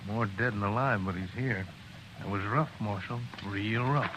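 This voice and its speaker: Gruff American frontier jailer, very noisy recording actor. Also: male voice, on the low side, normal speed, natural voice. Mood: plain reporting. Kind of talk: 1950s radio western acting. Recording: very noisy old radio recording.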